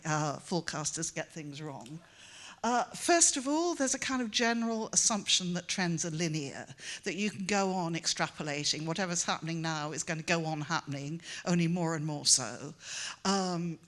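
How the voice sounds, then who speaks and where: An older woman whose first language is Welsh, giving a conference talk in English with many pauses, fillers and restarts. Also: lowish voice, fairly bright tone. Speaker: conference speaker.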